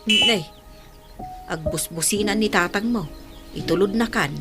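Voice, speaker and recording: A woman's voice: mid-range voice, a little slow, over loud music, natural voice. An actress playing a caring mother of a grown daughter in a radio drama, with a Filipino accent.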